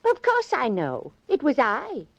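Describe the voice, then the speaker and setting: An actress playing a meddlesome, excitable and prim elderly lady amateur sleuth in a 1940s radio drama. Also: sits high, a little slow, gravelly, exaggerated voice. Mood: proud, defiant. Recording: vintage 1940s radio recording.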